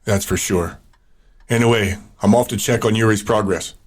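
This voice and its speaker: A lowish voice carries a confident fighter and lieutenant played by a man. He is dry, clipped and sure of himself, as in a dystopian audio drama. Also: fairly even intonation, moderate pace, lightly acted. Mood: cocky, measured. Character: confident, cautious male fighter and military lieutenant. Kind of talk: post-apocalyptic audio drama acting.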